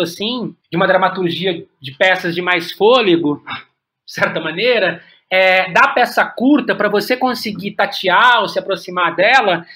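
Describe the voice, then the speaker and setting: A Brazilian man with a notably high-pitched voice and a bright, forward tone. He talks reflectively in an interview, circling back and repeating words while he works out his thought. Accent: Brazilian Portuguese. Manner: hesitant, searching, repeats words while thinking aloud. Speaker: guest playwright and director. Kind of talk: reflective podcast interview talk.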